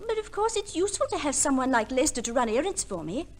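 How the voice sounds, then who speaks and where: An actress playing a vain, self-pitying young society wife in an old radio play, with an affected, gushing, dramatic delivery. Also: female voice, high-pitched, sing-song, fast. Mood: gushing, self-satisfied. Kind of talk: 1940s-50s radio play acting.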